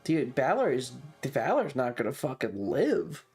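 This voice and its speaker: A man's voice: mid-range voice, very animated, a little slow, a little mellow, slightly rough. Sweary, emphatic male streamer over music. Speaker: livestreamer. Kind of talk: casual chat, animated storytelling to stream viewers.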